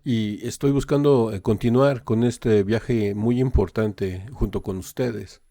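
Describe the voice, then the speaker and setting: A man's voice: on the low side, steady tone, dark tone, no echo. Dry-sounding man. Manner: hesitant, filling pauses with "eh" and "este" while translating. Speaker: reaction channel host. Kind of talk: casual live translation and commentary.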